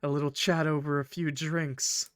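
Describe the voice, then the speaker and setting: A man voicing a polite, eloquent male train conductor villain from a visual novel, formal and measured, with quiet menace. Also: a little high, little pitch movement, dry recording, studio quality, lightly acted. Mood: calm, menacing. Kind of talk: visual novel character read aloud.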